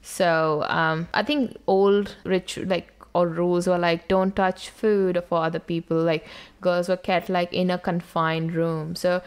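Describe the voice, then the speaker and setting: A woman's voice: on the low side, level tone, a little slow, few pauses, clean tone. A young woman from India co-hosting a casual podcast, telling stories animatedly and acting out her mother's lines. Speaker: podcast co-host. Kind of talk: casual conversation.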